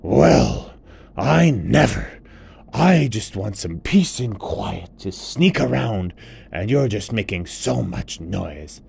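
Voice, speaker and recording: A man voicing a sneaky, hungry male tiger who speaks in a polite, fussy and measured way. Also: on the low side, big pitch swings, creaky, darkish tone, breathy edge, no echo, full character voice. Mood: frustrated.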